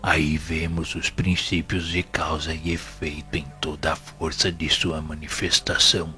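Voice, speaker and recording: Very deep, solemn spiritual instructor. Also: male voice, level tone, unhurried, bright, forceful tone, soft background music, exaggerated voice. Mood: solemn, paternal. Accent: Brazilian. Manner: grave, didactic, formal oratory. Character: wise, paternal, solemn male spiritual instructor. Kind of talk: audio drama sermon-like monologue.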